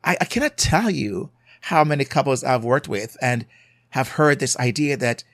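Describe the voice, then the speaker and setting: A man's voice: mid-range voice, expressive, moderate pace, a bit bright, dry recording. Jamaican man giving marriage advice, dry. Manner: earnest, conversational, checks in with listeners. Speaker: marriage coach and podcast host. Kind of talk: solo podcast advice talk.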